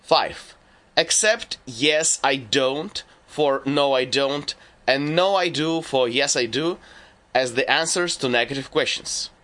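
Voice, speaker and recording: A man narrating a scripted language lesson at a very unhurried pace. He sets out rules and lists one by one, measured and deliberate. His voice is bright and forward, with a medium pitch. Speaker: language teacher.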